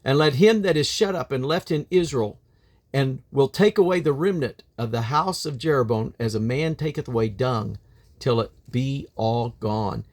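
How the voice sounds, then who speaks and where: A man reading from the King James Bible in a solemn, deliberate manner, with emphatic phrasing and drawn-out endings. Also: mid-range voice, a little mellow. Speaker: Bible reader.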